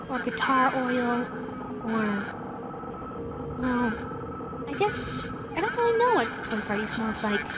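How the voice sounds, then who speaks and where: A woman voicing a playful, lonely female animatronic fox in a roleplay audio drama, chatty, coy and teasing as she talks to the listener. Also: high-pitched. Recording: loud steady background noise.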